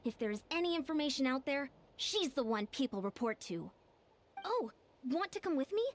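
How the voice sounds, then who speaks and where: Earnest high-voiced young warrior. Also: female voice, big pitch swings, moderate pace. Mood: determined. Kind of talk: anime game voice acting.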